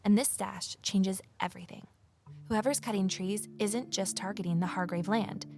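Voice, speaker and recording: A woman's voice: medium pitch, steady tone, moderate pace, gravelly, music in the background, natural voice. A woman playing an earnest, uneasy amateur investigator, confiding and intent as she narrates an audio drama. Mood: confiding, tense. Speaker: narrator and lead character.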